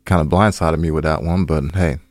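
A man's voice: a bass voice, steady tone, brisk, no echo, soft background music. A man co-hosting a podcast with casual, colloquial, joking and playfully defensive banter. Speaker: podcast co-host.

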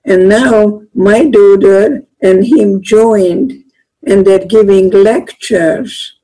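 A woman's voice: mid-range voice, very slow, warm tone, poor audio. An older woman from Hungary, a guest author speaking in an earnest, plainspoken way with slightly phrasing. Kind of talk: conversational interview.

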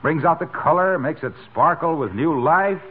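An actor playing a breezy friend who slides into a smooth sales pitch. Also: male voice, expressive, normal speed, natural voice. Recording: tinny old radio broadcast with hiss.

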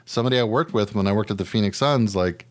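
A man's voice: fairly low pitch, fast talker, dry recording. An American man, middle-aged, co-hosting a casual podcast, telling stories bluntly with plenty of swearing and mimicked quotes. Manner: blunt, profane, animated storytelling with mimicked quotes. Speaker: podcast co-host. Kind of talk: casual chat.

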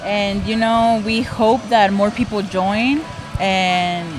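A woman's voice: mid-range voice, fairly even intonation, slow pace, music in the background, low-quality recording. A young woman from Mexico, answering interview questions about her film club in a hesitant way with many fillers. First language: Spanish. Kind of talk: casual interview.

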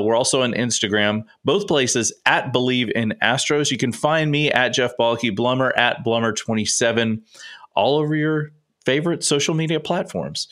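A man's voice: medium pitch, a bit bright. Guy solo hosting a sports show, bit stuffed up and sniffly, chatty and rambling. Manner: chatty, rambling, self-interrupting. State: slightly congested, sniffling. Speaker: podcast host and sports writer. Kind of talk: casual solo sports talk.

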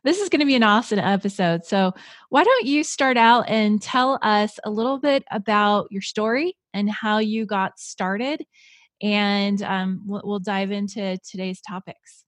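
A woman's voice: mid-range voice, unhurried, dry recording. A woman hosting an interview podcast, enthusiastic and encouraging toward her guest. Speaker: podcast host.

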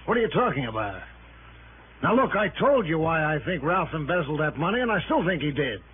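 An American actor playing a glib, evasive suspect in a 1960s radio drama, with a breezy, overly hearty manner. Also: male voice, fairly high pitch, big pitch swings, fairly quick, a little hiss or hum. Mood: defensive, evasive. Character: glib, evasive male party-host murder suspect. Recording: tinny old radio broadcast, music behind.

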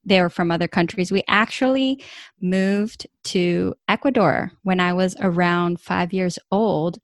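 A woman in her thirties from California speaks as a podcast guest. Her voice sits slightly low, and the recording is dry and close. She tells her story in an eager, chatty way. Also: unhurried, no room sound. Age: 30s. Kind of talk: podcast interview.

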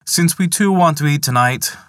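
A man's voice: fairly high pitch, normal speed, a bit bright, no echo, studio quality, voice pushed up, close to an everyday voice. A man voicing a grave, resigned male chief god in a retold myth.

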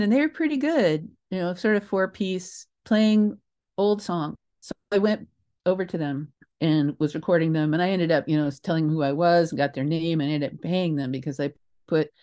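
An older woman with a slightly low-set voice speaks as a guest in a relaxed interview. She tells stories with animated emphasis, breaking off mid-thought and wandering into side remarks. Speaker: interview guest, theatre creator. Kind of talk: casual interview storytelling.

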